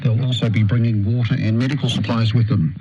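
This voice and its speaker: A man reads a scripted news bulletin in a New Zealand accent. His voice sits at an average pitch. It comes over a muffled shortwave signal with clear static noise. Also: fairly even intonation, fast talker. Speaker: radio news reader. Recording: noisy, dull shortwave radio reception.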